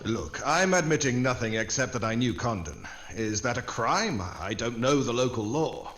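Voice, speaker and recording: Man acting a wry, lively American agent. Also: medium pitch, normal speed, soft background music. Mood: wry, cagey. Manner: dry, cagey, offhand. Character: wisecracking, unflappable American secret agent. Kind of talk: 1940s radio drama acting.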